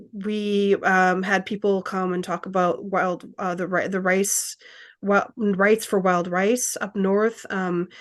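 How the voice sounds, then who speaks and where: A woman speaking as a community organizer on a conference panel, talking hesitantly with many fillers between phrases. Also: fairly low pitch.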